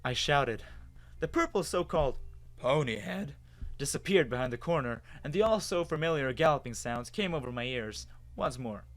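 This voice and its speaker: A man giving an expressive, dramatic first-person reading of a story as its narrator. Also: mid-range voice, moderate pace. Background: music.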